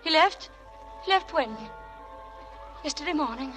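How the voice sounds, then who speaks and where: A woman's voice: sits high, expressive, a little slow, fairly bright tone. A woman playing an anxious, genteel young wife, earnest and refined in 1940s radio drama style. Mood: shocked, panicking. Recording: old radio broadcast with music.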